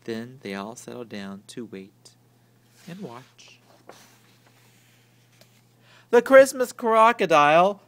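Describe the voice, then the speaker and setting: A man reading a children's story aloud in an expressive, theatrical way that swings from hushed to booming. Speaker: storybook reader.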